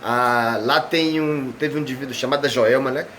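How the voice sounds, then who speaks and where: A man's voice: medium pitch, fairly even intonation, normal speed, a bit bright, a little hiss or hum. A man from Bahia, an artist talking casually and at length in an interview, wandering through his thoughts with many tag questions. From Bahia, Brazil. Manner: discursive, meandering, frequent tag questions.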